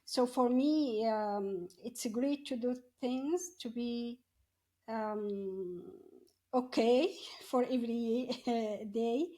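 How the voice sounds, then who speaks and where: A middle-aged woman tells her personal story in an interview, often halting and searching for words. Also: a little high, warm tone. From Morocco. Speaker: podcast guest, coach.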